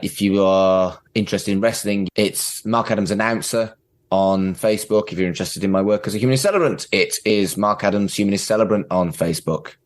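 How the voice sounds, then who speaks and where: Male podcast host, fairly low voice, casual conversational delivery. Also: steady tone, brisk.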